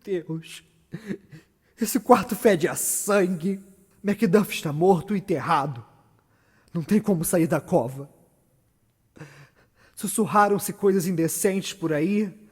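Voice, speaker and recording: High-pitched tormented tyrant in long reverb. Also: male voice, darkish tone, slightly rough. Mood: haunted, brooding. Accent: Brazilian. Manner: dramatic, declamatory, swinging from murmur to cry. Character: tormented, defiant, guilt-ridden male tyrant haunted by bloody memories. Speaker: stage actor. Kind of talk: theatrical Shakespearean monologue. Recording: long reverberant echo around the voice.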